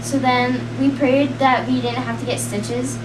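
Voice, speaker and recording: A young woman telling a personal story in a rambling, casual way, full of "like" and quick laughs. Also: high voice, flat delivery. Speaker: storyteller guest. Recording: roomy, distant, noisy.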